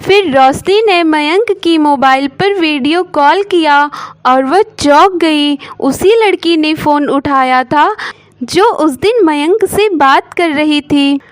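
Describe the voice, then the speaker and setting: A woman's voice: sits high, takes it slowly, no room sound. A woman storyteller giving scripted narration of a moral story.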